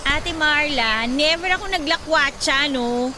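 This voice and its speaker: High-voiced young college daughter. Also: female voice, a little slow, noisy background. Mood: defensive. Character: young college daughter, working singer, polite but defensive. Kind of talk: Tagalog radio drama acting.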